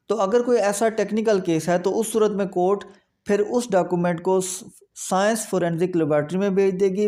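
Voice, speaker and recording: A man's voice: high-pitched, dark tone. A man with a Pakistani accent giving an explanatory legal lecture, going through it methodically step by step and repeating points.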